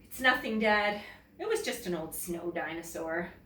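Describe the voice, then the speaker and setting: A woman's voice: fairly low pitch, expressive, moderate pace, pushed and bright, reverberant, distant mic, a little hiss or hum. A woman voicing a brave, stubborn young girl in a children's storybook, insistent in manner. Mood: nonchalant, firm.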